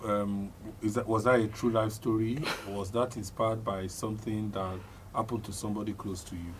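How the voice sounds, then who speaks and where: A man's voice: on the low side, level tone, normal speed. A man, asking conversational interview questions on a radio show in a hesitant way. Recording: clear background noise, ambience.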